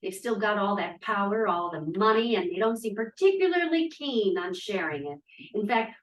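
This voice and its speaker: A woman's voice: medium pitch, lively intonation, normal speed, lightly acted. A woman acting as an outspoken, sardonic young feminist of the 1940s in a script read-through. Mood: defiant. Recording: distant, echoey room microphone.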